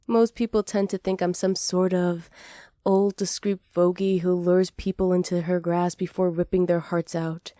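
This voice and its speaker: Woman acting as a sly flirty witch, mellow and soft-spoken, teasing, hospitable, close dry sound, ASMR roleplay. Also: on the low side, dry recording, natural voice. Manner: soft-spoken, teasing, flattering, partly whispered. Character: flirty, hospitable witch potion seller, sly and self-assured.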